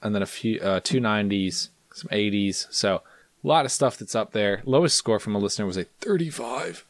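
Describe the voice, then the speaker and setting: Male podcast host, playful and self-deprecating, lots of pitch movement, dead-dry booth sound. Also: animated, brisk, no echo. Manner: playful, self-mocking, reads out scores. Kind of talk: casual podcast chat.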